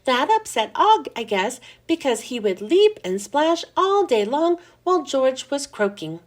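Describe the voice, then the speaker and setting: Woman, children's story read-aloud, high voice, very animated. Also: a little slow. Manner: lively, expressive reading with voices for the characters. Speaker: children's book reader.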